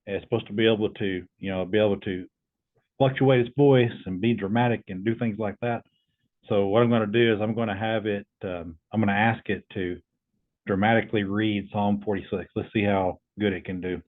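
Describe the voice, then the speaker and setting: A man's voice: lowish voice, steady tone, a little fast, phone-quality sound. A man hosting a casual demo, talking informally and hesitantly as he tests a voice assistant. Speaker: video host testing a voice assistant.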